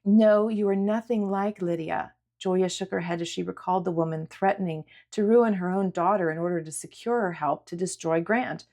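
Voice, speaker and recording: A woman's voice: lowish voice, a little fast, few pauses, darkish tone. A woman reading scripted dramatic fiction as an audiobook narrator.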